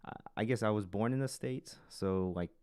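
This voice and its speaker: A man with a Korean accent, Korean as his first language, hosting a casual talk show in a slow, hesitant way, pausing to form his sentences. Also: lowish voice, a little mellow, clear, resonant tone, no echo, studio quality. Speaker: podcast host.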